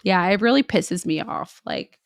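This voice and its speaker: A woman's voice: mid-range voice, animated, moderate pace, no room sound, studio quality. A young woman co-hosting a podcast, chatting casually with lots of fillers, recorded remotely on her own mic. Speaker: podcast co-host. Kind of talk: casual chat.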